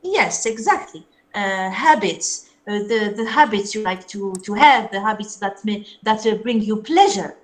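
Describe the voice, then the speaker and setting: A woman's voice: medium pitch, lively intonation, a little slow, few pauses. A woman with an Algerian French-influenced accent teaching English in an online class, repeating example phrases and checking whether students follow.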